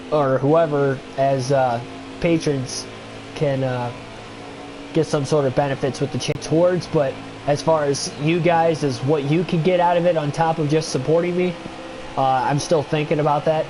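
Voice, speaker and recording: A man's voice: fairly high pitch, steady tone, normal speed, background noise. Stuffy-nosed young man chatting on a livestream. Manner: rambling, thinking aloud with many fillers. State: stuffy nose. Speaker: gaming livestreamer. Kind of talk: casual livestream chat and race commentary.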